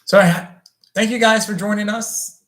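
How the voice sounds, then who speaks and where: A man whose first language is French, speaking in a slightly higher than usual register. He answers viewer questions loosely, with frequent ums and self-corrections. The sound carries a roomy echo. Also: fairly even intonation, a bit bright. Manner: loose and halting, with many ums and restarts. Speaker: plastic surgeon hosting a livestream Q&A. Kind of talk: casual livestream Q&A.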